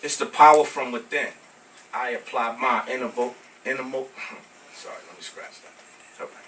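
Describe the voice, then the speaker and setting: An American man from Brooklyn performing spoken word poetry live, with emphatic, deliberate phrasing. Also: mid-range voice, steady tone, a bit bright. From Brooklyn, New York, United States. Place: live poetry venue with small audience. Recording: noisy, distant amateur recording of live venue.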